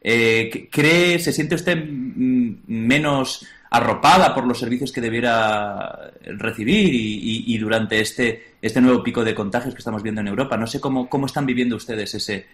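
A man's voice: mid-range voice, moderate pace, a bit bright. A man working as a radio correspondent, putting spontaneous questions in a hesitant, roundabout way.

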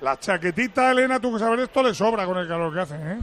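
A man giving live radio play-by-play of a football match, lively and rising to shouts on attacking plays. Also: sits high, fast. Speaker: play-by-play commentator.

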